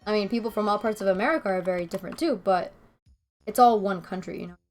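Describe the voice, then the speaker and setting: A woman's voice: medium pitch, fast talker. A woman from Venezuela livestreaming a game, chatting casually and rambling as she answers viewers. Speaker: game streamer. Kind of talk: casual livestream chat while playing. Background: music.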